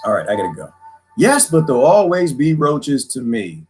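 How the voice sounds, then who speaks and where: A man hosting a casual livestream, rambling and joking with viewers, laughing often, his voice going hoarse. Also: fairly high pitch, very animated, moderate pace, a little mellow, soft background music. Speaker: livestream host.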